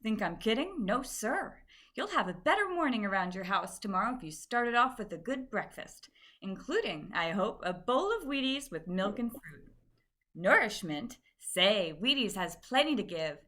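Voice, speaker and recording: A woman playing a peppy 1950s radio cereal pitchwoman, cheery and folksy in her salesmanship. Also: big pitch swings, moderate pace, bright, forceful tone. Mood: cheery, selling.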